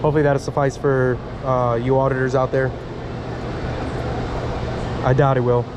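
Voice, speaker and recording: A man from Miami filming a casual self-made vlog on his phone, halting and chatty, full of fillers and restarts, talking to camera and to officials. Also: mid-range voice, flat delivery, dull audio, noisy background. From Miami, USA. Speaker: vlogger filming a first amendment audit. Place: outdoors then in a public building lobby. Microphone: handheld phone camera.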